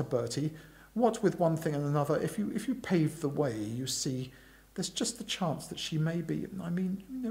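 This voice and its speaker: Guy doing a sheepish posh young fella in a story, hems and haws, kinda high and very up and down. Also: creaky, a little mellow. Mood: flustered. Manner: stammering, roundabout, hemming and hawing. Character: bashful, hesitant young upper-class chum in love.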